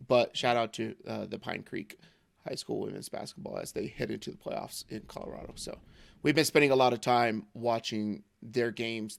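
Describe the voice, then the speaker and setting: A man in his thirties with an American accent, co-hosting a casual sports podcast, hoarse from losing his voice, rambling with lots of fillers and jokes. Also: medium pitch, dry recording, studio quality. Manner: rambling, filler-heavy, joking. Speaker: podcast co-host.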